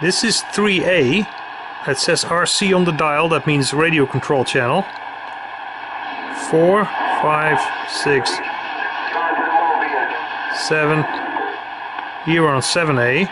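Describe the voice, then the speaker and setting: Man demonstrating gear over loud static. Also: on the high side, slow pace, pauses often. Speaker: radio hobbyist demonstrator. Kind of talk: informal equipment demonstration. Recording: loud radio static and noise.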